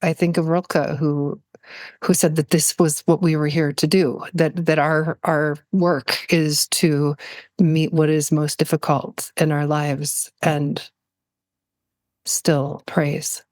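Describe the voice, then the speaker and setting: A woman speaking as an interview guest in a reflective, personal way, halting thoughtfully as she searches for words. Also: deep voice, little pitch movement, darkish tone, dry recording. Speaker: podcast guest, poet.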